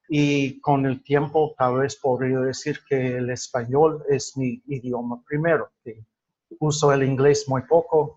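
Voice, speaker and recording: A man lecturing a class at a very slow, deliberate pace, pausing often with fillers and restarting his words. His first language is English. The recording sounds dull and lo-fi. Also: mid-range voice, darkish tone. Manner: halting, many fillers and restarts. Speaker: teacher.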